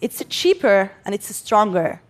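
A young woman from Gaza giving a prepared speech to an audience, speaking as an English speaker with pauses between phrases. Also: mid-range voice, very animated, noisy background. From Gaza, Palestine. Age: young adult.

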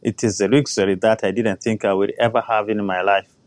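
A man, a crop scientist giving an earnest, measured talk about his own life. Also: lowish voice, little pitch movement, no echo. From Rwanda. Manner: earnest, measured, personal storytelling. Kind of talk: prepared talk.

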